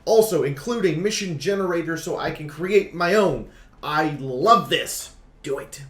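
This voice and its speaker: A man's voice: a little high, lively intonation, a little slow, a bit bright, reverberant. A man giving opinionated game review commentary, speaking bluntly and emphatically and repeating phrases for stress.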